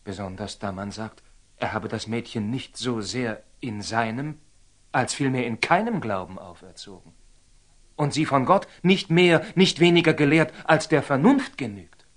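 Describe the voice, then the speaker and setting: An actor playing a proud, blunt young knight with formal, terse delivery in a classic German radio drama. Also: male voice, mid-range voice, moderate pace, lightly acted. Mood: indignant. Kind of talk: theatrical German radio drama acting of classic stage verse.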